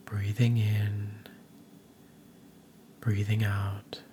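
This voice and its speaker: Slow, even-toned man guiding meditation. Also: on the low side, a little hiss or hum. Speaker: meditation guide. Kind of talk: guided meditation narration.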